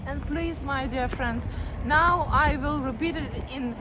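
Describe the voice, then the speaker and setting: A Ukrainian-accented woman talks to her viewers in a high, earnest voice. She speaks slowly and deliberately. Vehicle noise carries clearly in the background. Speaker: vlogger, teacher. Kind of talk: casual personal video update.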